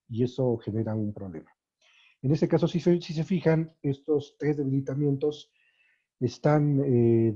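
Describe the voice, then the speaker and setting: Dark, husky lecturer. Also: male voice, medium pitch, muffled recording. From Mexico. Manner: thinking aloud with many fillers and restarts.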